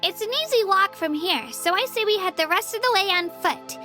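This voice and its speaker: A woman's voice: very high-pitched, lively intonation, brisk, sharp tone, full character voice. A woman voicing a small, friendly young girl in a video game, chirpy, affectionate and earnest. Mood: upbeat. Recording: game music behind the voice.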